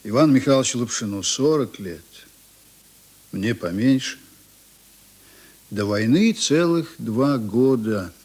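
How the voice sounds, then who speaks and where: An actor playing a journalist narrator in a radio play, reminiscing in a conversational, musing way with deliberate pauses. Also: male voice, mid-range voice, expressive, darkish tone, slightly rough. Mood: reflective. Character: reminiscing male journalist narrator, unhurried and intimate.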